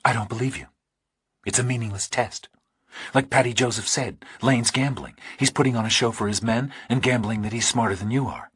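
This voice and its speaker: A man's voice: medium pitch, a little fast, fairly bright tone, breathy edge, no echo, clean studio sound, pitched above the performer's own voice. A man voicing a skeptical, guarded professional woman with clipped speech in an audiobook thriller. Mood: accusing, cool.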